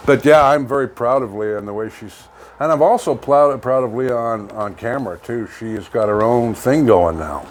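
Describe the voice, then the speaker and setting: A man's voice: medium pitch, moderate pace. An older man with a Canadian accent co-hosts a local online radio show in a folksy, rambling, casual chat style. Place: home studio.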